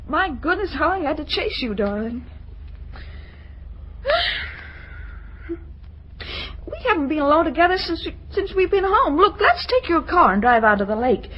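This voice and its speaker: A woman's voice: sits high, very animated, moderate pace, long pauses, a bit bright, a little hiss or hum, low-quality recording. An actress playing a headstrong, gushing young woman of about twenty-one, dramatic and insistent, in an old-time radio drama. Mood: pleading, fond. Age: young adult.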